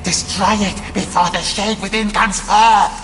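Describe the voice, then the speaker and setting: A woman's voice: mid-range voice, fairly even intonation, unhurried, close to an everyday voice. A woman voicing a servile, fearful forest creature in an audio drama, pleading and fretful, with archaic phrasing. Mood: urgent warning. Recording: long reverb, music behind.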